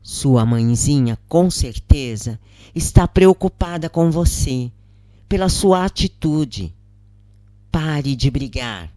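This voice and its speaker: Lady reading as a kind prison counselor woman, gentle advice, slow, story narration. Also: a bass voice, animated, no room sound, clean studio sound, full character voice. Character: kindly, wise female veteran prisoner, calming counselor.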